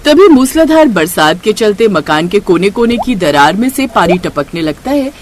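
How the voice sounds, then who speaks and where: Woman storyteller over clear background noise. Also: mid-range voice, sing-song, moderate pace. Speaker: storyteller narrating and voicing characters. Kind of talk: scripted narration of a Hindi moral story.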